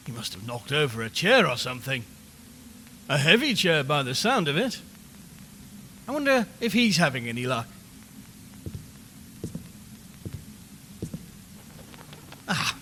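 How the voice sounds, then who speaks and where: A man's voice: fairly high pitch, bright, forceful tone. Man acting a jittery radio reporter, cavernous reverb. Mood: wry, jittery. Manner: chatty, wry, increasingly uneasy. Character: male radio reporter broadcasting nervously from a haunted house. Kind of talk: dramatised live radio commentary. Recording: long reverberant hall, background noise.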